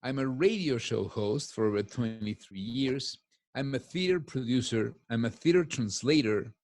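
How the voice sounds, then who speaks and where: A man's voice: mid-range voice, animated, normal speed, darkish tone. Talkative middle-aged man. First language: Spanish. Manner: talkative, piles up restarts. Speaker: guest. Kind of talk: casual podcast chat. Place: home office. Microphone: headphones on a video call.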